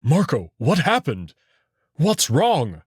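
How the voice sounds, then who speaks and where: Man as urgent, husky protective wolf prince. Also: fairly high pitch, huge pitch swings, slow, no room sound, studio quality, heavily acted. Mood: worried, urgent. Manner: urgent, earnest. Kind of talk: visual novel voice acting.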